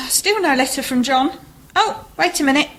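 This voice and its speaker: A woman playing a gently teasing married heroine of a mystery in an amateur radio play. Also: sits high, unhurried, slight background noise. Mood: anxious. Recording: amateur community radio recording.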